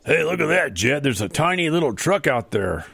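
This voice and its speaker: Man doing a creaky, wildly animated country bumpkin. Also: fairly high pitch, moderate pace, dry recording, full character voice. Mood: amazed. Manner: rustic, gawking. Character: excitable bumbling country bumpkin farmer, easily amazed. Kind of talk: improvised comedy radio theater.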